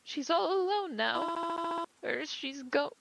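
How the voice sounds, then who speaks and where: A woman voicing a grieving middle-aged mother who talks in a soft, wavering, heartbroken way. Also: very high-pitched, slow pace, very noisy, pitched above the performer's own voice. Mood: anxious. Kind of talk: audio drama acting.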